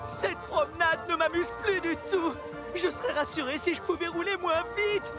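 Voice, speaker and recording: A man's voice: very high-pitched, steady tone, normal speed. A man voicing a young, anxious and cheeky little rail car in a children's cartoon dub. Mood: panicked. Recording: music playing behind.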